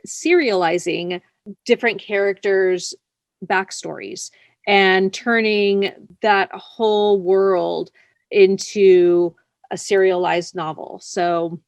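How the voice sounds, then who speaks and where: A woman with a mid-pitched voice talks casually as an interview guest. She is an author, and the recording is close and dry with very little room sound. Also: no room sound.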